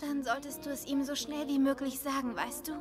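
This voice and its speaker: High-voiced caring schoolgirl played by a woman. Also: flat delivery, a little fast, over music, close to an everyday voice. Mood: earnest, sad. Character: caring teenage schoolgirl, hesitant best friend.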